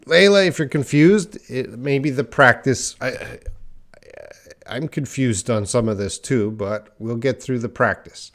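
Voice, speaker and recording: Slow, patient male English teacher. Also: mid-range voice, fairly bright tone. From Canada. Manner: slow, patient explaining, reads chat comments aloud. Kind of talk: live online grammar lesson.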